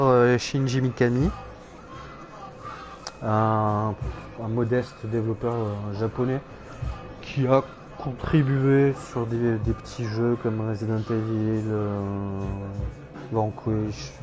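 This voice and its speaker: A man streaming a game, rambling hesitantly with many fillers and self-corrections, with dry irony. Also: on the low side. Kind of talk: casual rambling game commentary.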